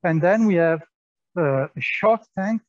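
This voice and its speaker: Man giving a halting lab tour. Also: high-pitched, expressive, muffled recording. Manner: halting, many fillers and repeated words. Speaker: tour guide at a physics lab. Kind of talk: guided tour explanation. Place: large indoor lab hall.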